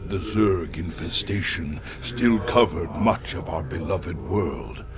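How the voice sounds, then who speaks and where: A man voicing a grim alien warrior, narrating in a measured, grave way. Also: low-pitched, animated, noisy background, heavily acted. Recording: orchestral music behind.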